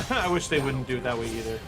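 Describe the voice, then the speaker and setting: Male game streamer, chatty and informal, mid-pitched, live commentary over game music. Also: expressive, fairly quick. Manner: informal, chatty, thanking viewers. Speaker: streamer, speedrunner. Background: video game music.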